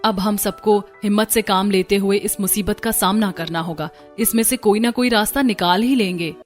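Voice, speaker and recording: A woman's voice: medium pitch, fairly even intonation, normal speed, faint music behind, lightly acted. A woman voicing a caring, resilient young daughter-in-law in a cartoon story. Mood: resolute. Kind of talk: Hindi cartoon story dialogue.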